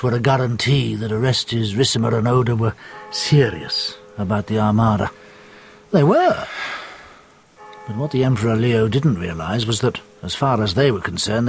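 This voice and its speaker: An actor playing a knowing storyteller who narrates Roman intrigue in a radio drama in a measured way. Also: male voice, lowish voice, a little hiss or hum, natural voice.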